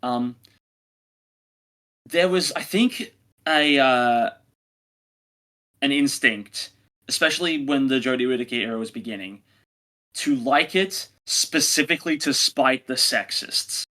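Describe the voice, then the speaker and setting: A man with a bright, forward voice at middling pitch. He talks casually as a podcast co-host, pushing his opinions with emphatic phrasing. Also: measured pace, pauses often, poor audio. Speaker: podcast co-host reviewing audio dramas.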